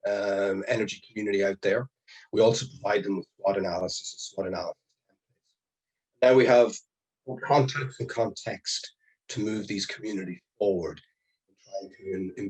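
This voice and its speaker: Male presenter, low husky voice, earnest and halting, video-call audio. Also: low-quality recording. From Ireland. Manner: earnest, halting with restarts and fillers. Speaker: conference presenter. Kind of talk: online conference presentation. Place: home or office on a video call. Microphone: video call mic.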